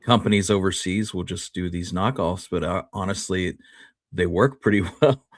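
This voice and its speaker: A man with a low-pitched voice hosts a show in a casual, conversational way. The sound is dry, with very little room in it. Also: normal speed, dry recording. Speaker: podcast host. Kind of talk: casual chat.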